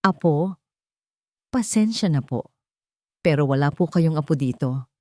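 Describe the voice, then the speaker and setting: A woman's voice: low voice, lively intonation, measured pace, dark tone, no room sound, clean studio sound. A woman storyteller voicing a defensive, wounded man who speaks in curt, emphatic lines in an audio love story. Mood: polite, confused. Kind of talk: dramatic Tagalog audio story dialogue.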